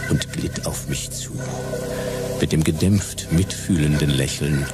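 An actor playing a wry, hard-boiled detective narrating a radio drama in a dry, sardonic manner. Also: male voice, a bass voice, a little slow. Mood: wry, observant. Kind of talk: scripted first-person radio drama narration. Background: music.

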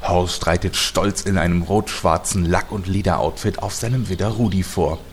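A man's voice: low voice, normal speed, a little hiss or hum, pitched below the performer's own voice. A man narrating a game parody radio play in a solemn, mock-epic way. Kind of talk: scripted narration.

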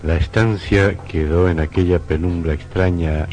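A man narrating a suspense radio drama in a solemn, literary, measured manner. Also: low-pitched, steady tone, a little mellow. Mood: suspenseful. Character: solemn male narrator of eerie suspense tale. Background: music.

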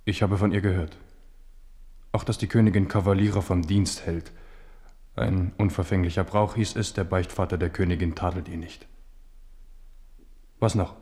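An aging French king in a radio drama, low in pitch and commanding. He speaks with regal, sardonic theatricality and a wary edge. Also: fairly even intonation, moderate pace, pauses often, dark tone, husky. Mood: sardonic, probing. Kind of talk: radio play acting.

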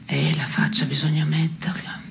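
An actress playing a dry, cynical woman in an absurdist stage dialogue, laconic and deadpan. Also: deep voice, moderate pace, vocal fry, in a whisper, soft background music. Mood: dry, sardonic. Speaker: stage actor. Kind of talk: absurdist two-hander theatre dialogue. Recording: noisy radio broadcast of theatre piece.

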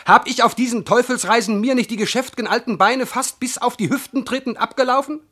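An actor playing a gruff, greedy, domineering servant in a classical verse radio comedy, blunt and scornful in manner. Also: male voice, extremely high pitch, brisk, fairly bright tone. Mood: grumbling, smug. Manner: scolding, blunt, theatrical.

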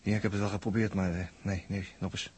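A man's voice: low voice, level tone, fast, a little mellow, close to an everyday voice. Wry, easygoing space crewman played by a man, faint hiss. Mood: drowsy, wry. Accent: Netherlands Dutch. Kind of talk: 1960s Dutch science-fiction radio drama acting.

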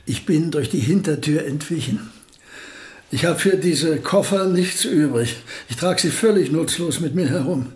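A man's voice: fairly high pitch, measured pace, a little mellow, close to an everyday voice. A man playing a wry, modest old physicist. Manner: dry, understated, ironic. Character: wry, modest elderly male physicist visitor. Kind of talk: radio play acting.

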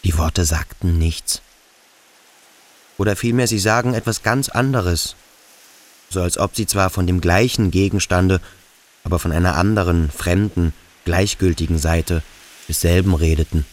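An actor playing a brooding, troubled schoolboy, thinking aloud intensely in a literary radio play. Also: male voice, low voice, unhurried. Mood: pondering.

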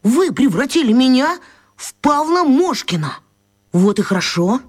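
A woman's voice: high-pitched, big pitch swings, a little slow, darkish tone, exaggerated voice. A woman playing a bewildered, pleading young man in a radio play, earnest and hesitant. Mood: hopeful, pleading.

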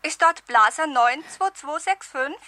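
An actress playing a businesslike telegraph operator in a radio thriller, reciting in an impersonal official manner. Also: female voice, sits high, animated, a little slow, background noise. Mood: detached, official. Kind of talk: 1970s German radio thriller acting.

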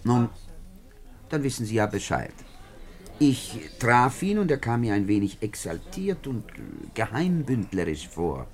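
An actor playing a self-important middle-aged French parliamentary deputy in a 1950s German radio drama, speaking in a pompous, deliberate, emphatic way. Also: male voice, medium pitch, big pitch swings.

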